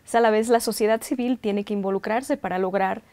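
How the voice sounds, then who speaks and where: A woman hosting an interview show, asking thoughtful, measured questions. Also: mid-range voice, fairly even intonation, mellow. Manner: measured, inquisitive. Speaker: interview host.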